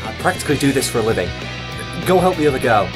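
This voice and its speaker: A man plays a cocky young fighter in an audio drama. His delivery is sardonic and casual with a lively, swooping pitch, over loud music. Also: very fast, lightly acted. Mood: battle-ready. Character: cocky, wry teenage boy demon fighter.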